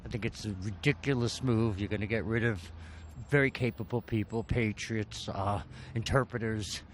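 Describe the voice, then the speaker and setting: A man's voice: fairly low pitch, steady tone, normal speed, a little hiss or hum. A man from New York, blunt and profane in a street interview. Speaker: passerby interviewee. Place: outdoors on a city street.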